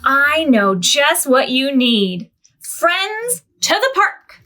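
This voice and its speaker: A woman reading a picture book aloud and voicing a cheerful, imaginative young girl with bright, sing-song exclamations. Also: sits high, very animated, very slow, heavily acted. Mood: eager.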